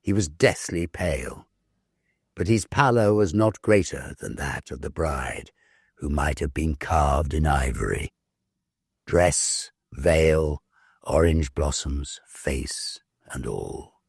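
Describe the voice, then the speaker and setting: A man reading a ghost story as dramatic narration, theatrical, measured and full of suspense. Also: a bass voice, animated, creaky, slightly rough, no room sound, studio quality.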